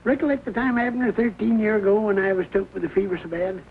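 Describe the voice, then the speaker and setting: High, creaky country old-timer. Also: male voice, fairly even intonation, fast talker. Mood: folksy, chatty. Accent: rural Southern US. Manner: drawling, folksy country talk. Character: folksy rural old-timer farmer, trading eggs. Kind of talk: 1940s comedy film acting. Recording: tinny, noisy 1940 film soundtrack.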